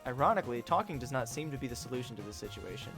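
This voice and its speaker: A man's voice: medium pitch, lively intonation, racing, over music. Joking man streaming a video game. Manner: joking, reads game text aloud. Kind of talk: casual livestream chat and game commentary.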